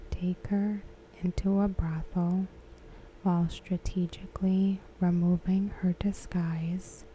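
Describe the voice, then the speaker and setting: A woman whispering softly and breathily in an ASMR style, giving commentary with gentle, deliberate phrasing. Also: fairly low pitch, a little hiss or hum, low-quality recording. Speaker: ASMR creator.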